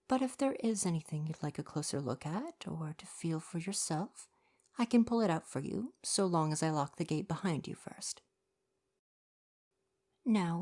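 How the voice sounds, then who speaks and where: A gentle young mer merchant played by a woman with a soft, dark-toned voice that sits a little low. The delivery is courteous, articulate and earnest, like a formal but kind shopkeeper. It is an ASMR roleplay performance, spoken softly to a single customer. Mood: welcoming, helpful. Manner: polite, articulate, formal retail patter.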